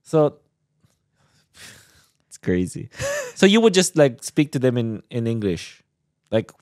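A man's voice: medium pitch, sing-song, slow, a little mellow, no echo, clean studio sound. A man hosting a casual podcast interview, reacting often and feeling out his questions in informal, hesitant phrasing. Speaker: podcast host.

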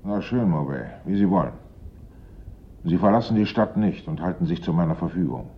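An actor playing a brusque, sceptical police inspector who questions curtly in a German radio play. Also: male voice, deep voice, animated, normal speed, highs cut off. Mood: coldly resigned. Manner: curt, probing, accusatory.

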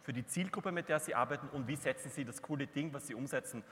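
A man runs a workshop panel, handing the word to each speaker in a casual, friendly way. His mid-pitched voice carries a long hall reverb. A faint noise sits underneath. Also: quick pace, fairly bright tone. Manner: informal, friendly prompting of panelists. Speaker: workshop moderator. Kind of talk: moderating a panel discussion. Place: conference hall.

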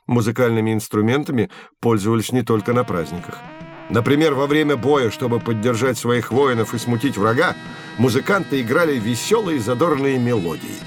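A man's voice: mid-range voice, a little fast, over music, lightly acted. An actor playing a patient, knowledgeable man teaching children, explaining things like a storyteller in scripted educational narration. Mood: patient, explanatory. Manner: explanatory, storytelling, clearly phrased.

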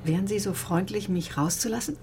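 Radio drama: sharp-tongued female doctor, played by an actress, controlled, defensive, ordinary mid pitch. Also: brisk, slight background noise, close to an everyday voice. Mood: wary, polite. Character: bitter, sharp-tongued woman doctor recounting her ordeal. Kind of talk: radio drama acting, confessional narration and dialogue.

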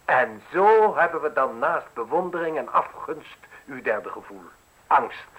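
A man's voice: a little high, animated, a little slow, fairly bright tone, breathy edge, highs cut off, full character voice. A man acting a ruthless, mocking journalist in a radio play, articulate and insinuating as he interrogates. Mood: menacing, mocking. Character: probing, mocking, ruthless male newspaper journalist needling an official.

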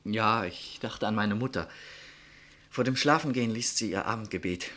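An actor in a German radio drama playing a modest, dreamy young medical student turned soldier, earnest and courteous. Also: male voice, fairly low pitch, moderate pace, pushed and bright. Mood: melancholic, dreamy. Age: young adult.

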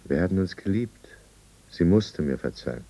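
A man's voice: deep voice, animated, normal speed, gravelly, dark tone, exaggerated voice. An actor playing a desperate, besotted drunkard businessman in a 1950s German radio drama. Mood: bleak, remorseful. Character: desperate drunkard businessman, besotted and blustering, later remorseful narrator.

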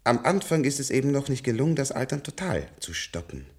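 An actor in a German radio play, playing a weary, very old scientist who talks hesitantly and reflectively in a husky, gravelly voice. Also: male voice, medium pitch, very animated, fairly quick, close to an everyday voice. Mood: explaining, wry. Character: weary, very old scientist with a longevity-treated middle-aged body.

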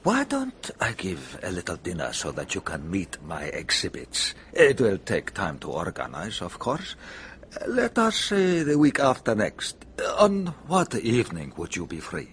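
An actor playing a suave, smug gentleman collector in a radio drama, urbane, pompous and mocking. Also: sits low, huge pitch swings, unhurried, a little hiss or hum, exaggerated voice. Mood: smug, boastful.

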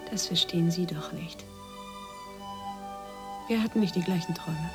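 A woman playing a bitter, wounded woman in a radio play, speaking in a hushed, reproachful way. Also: lowish voice, moderate pace, over music, close to an everyday voice. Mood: guarded, hurt. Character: bitter, wounded woman cornered by secret police. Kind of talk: German radio play acting.

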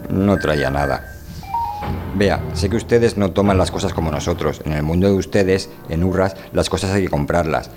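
A man acting a deep-voiced, wary stranger. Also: normal speed, darkish tone, soft background music, close to an everyday voice. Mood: earnest, frank. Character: wary, stubborn visiting scientist from an austere world. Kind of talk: amateur radio drama reading.

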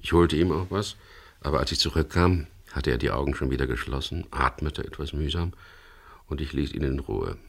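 An actor playing a dry, businesslike skipper in a radio crime drama, with a gravelly voice. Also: male voice, extremely low pitch, a little fast, full character voice. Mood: sober, reflective. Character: wary, businesslike skipper of a chartered sailboat, also narrating. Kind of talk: 1970s German radio crime drama, narration and dialogue.

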